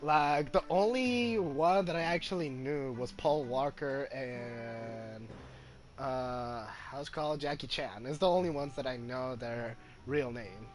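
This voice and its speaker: A male gaming streamer giving casual playthrough commentary, halting, with non-standard grammar. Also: mid-range voice, over music. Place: game commentary over game audio.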